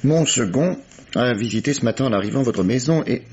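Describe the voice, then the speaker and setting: An actor playing a methodical, courteous police commissioner in a French radio mystery play, questioning formally and deliberately. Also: male voice, mid-range voice, expressive, a little mellow, husky. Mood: methodical, puzzled. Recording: dull, lo-fi vintage radio recording.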